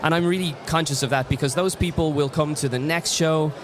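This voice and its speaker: Man, interview guest, reflective storyteller, somewhat high voice, faint background noise. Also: fairly quick. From Ireland. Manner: reflective storyteller, many restarts. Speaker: guest comic artist. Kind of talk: casual interview chat.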